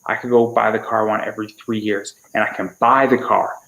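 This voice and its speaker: A man's voice: lowish voice, little pitch movement, fairly quick, gravelly, a bit bright, highs cut off. A man in his twenties talking casually as a podcaster, often stammering and repeating words as he starts sentences. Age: 20s. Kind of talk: casual conversational interview. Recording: roomy, echoey sound.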